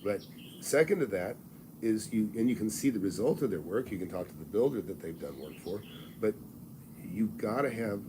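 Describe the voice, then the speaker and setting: A man's voice: lowish voice, expressive, moderate pace, noisy background. A man in his sixties and a real estate mentor who hosts a live advice stream, talking in a blunt, folksy, salesy way and often restarting his sentences. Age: 60s.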